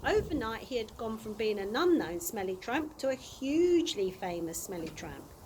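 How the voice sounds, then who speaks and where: Female storybook reader, high and lively, expressive delivery for children, audible background noise. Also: normal speed. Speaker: early years practitioner reading to children. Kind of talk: expressive storybook reading aloud.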